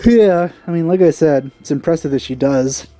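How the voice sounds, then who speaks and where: A woman's voice: sits low, moderate pace, dark tone. A woman hosting a let's play, giving casual, laid-back, off-the-cuff commentary on a video game. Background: faint game music.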